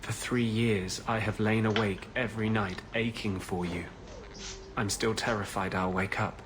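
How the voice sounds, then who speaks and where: An actor as a low-voiced, lovestruck fugitive mage, noisy audio. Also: male voice, steady tone, lightly acted. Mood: yearning. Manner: earnest, halting, intimate delivery. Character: brooding, devoted male fugitive mage in love. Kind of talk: fantasy video game voice acting.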